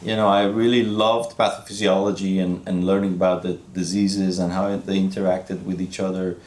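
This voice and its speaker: A man in his fifties, originally from Iran, speaking as a physician guest in a relaxed interview, reflective and halting, with frequent restarts. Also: lowish voice, roomy sound. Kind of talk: casual interview conversation.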